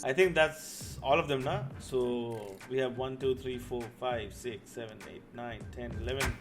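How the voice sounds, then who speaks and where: A man's voice: mid-range voice, steady tone, normal speed. A man with an Indian accent hosting a casual group chat about films and games. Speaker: podcast host. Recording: music under the voice. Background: music.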